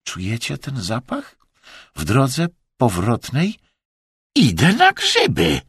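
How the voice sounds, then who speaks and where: A man's voice: mid-range voice, wildly swooping pitch, slow, fairly bright tone, husky, dry recording, clean studio sound, voice pushed up, exaggerated voice. A man voicing a spiteful, sneering Chinese hunter in an audiobook. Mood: whispered.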